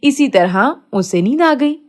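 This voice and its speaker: Female storyteller for kids' moral fables: slow, scripted read with wide, expressive pitch swings.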